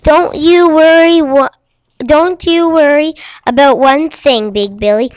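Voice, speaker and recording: High-voiced, fearless little billy goat played by female. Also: takes it slowly, no echo. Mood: defiant, hungry. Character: fearless hungry little billy goat, young male, stubborn yet polite.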